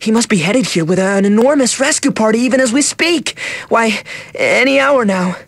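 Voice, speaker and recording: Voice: mid-range voice, animated, normal speed, gravelly, a bit bright, dry recording. An actor playing a confident, privileged child in a game, speaking with upbeat reassurance. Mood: confident, reassuring. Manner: assured, reassuring.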